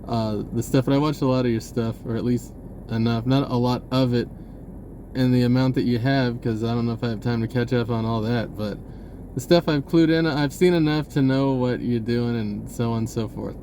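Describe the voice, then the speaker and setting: A man's voice: mid-range voice, steady tone, moderate pace, warm tone, a little hiss or hum. A man giving a rambling, spontaneous message in an informal, hesitant way, often rephrasing as he goes. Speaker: online video creator.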